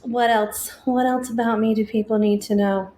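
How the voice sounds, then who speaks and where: A woman's voice: a little high, steady tone, moderate pace, mellow, reverberant, slight background noise. A woman co-hosting a podcast in casual chat, talking freely and laughing often. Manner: chatty, laughs often, self-deprecating. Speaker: podcast co-host.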